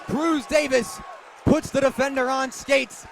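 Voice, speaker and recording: Young male play-by-play announcer, high-pitched and animated, loud arena crowd behind him. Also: unhurried, vocal fry. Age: young adult. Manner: animated, vivid, raises voice to shouts on big plays. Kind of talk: live basketball play-by-play commentary. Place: courtside in a noisy basketball arena. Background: crowd noise, basketball bounces.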